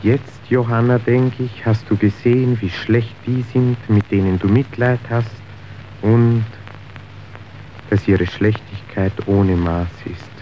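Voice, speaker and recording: A man's voice: on the low side, steady tone, slow. An actor playing a cynical, worldly businessman in a radio drama, speaking in a pointed, declamatory way. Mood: cynical, assured. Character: cynical, worldly businessman, coolly demonstrating human wickedness. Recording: dull, noisy old radio recording.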